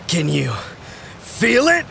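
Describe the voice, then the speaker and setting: A zany, boastful manly man from a video game, gravelly and theatrical. He draws words out extremely slowly and swings widely in pitch, with music behind him. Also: bright, forceful tone, a little hiss or hum, full character voice. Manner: theatrical, drawn-out phrasing. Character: flamboyant man possessed by zany, boastful manliness.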